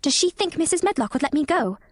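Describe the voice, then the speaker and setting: A woman's voice: high-pitched, very animated, fast talker, dry recording, studio quality, voice pushed up. A woman voicing an earnest, eager and curious little girl in a storybook reading. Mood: anxious, wondering.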